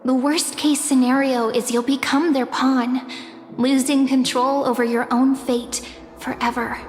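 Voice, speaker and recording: A high, sweet young female voice in an echoing space with long reverb. She is a gentle, mysterious anime game guardian who speaks slowly and sincerely. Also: level tone. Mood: solemn warning. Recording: echoey long reverb, faint music behind.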